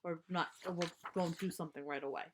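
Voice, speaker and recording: A woman reading a children's storybook aloud expressively, pausing to explain words simply in an emphatic way for young listeners. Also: lowish voice, fairly even intonation, background noise.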